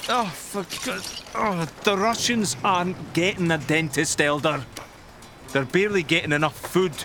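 Fed up railway labourer, slightly high voice, halting and colloquial, noisy outdoors. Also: male voice. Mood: distressed, indignant. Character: troubled, irritable male railway worker with a conscience. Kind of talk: naturalistic radio drama acting.

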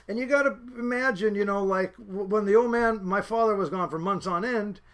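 A man's voice: high voice, brisk, fairly bright tone. A middle-aged man from the Seattle area whose first language was Norwegian, reminiscing casually with many fillers and restarts. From Seattle area, USA. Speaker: fisherman's son recalling childhood.

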